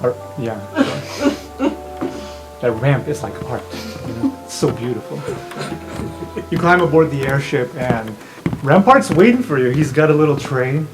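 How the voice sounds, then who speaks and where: A man's voice: medium pitch, low-quality recording. A man hosting as game master, narrating an improvised tabletop game in an informal, joking way.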